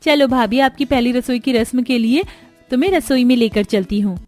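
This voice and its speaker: A woman's voice: fairly high pitch, moderate pace, a little mellow, soft background music, close to an everyday voice. A woman voicing a cheerful young sister-in-law in a family cartoon.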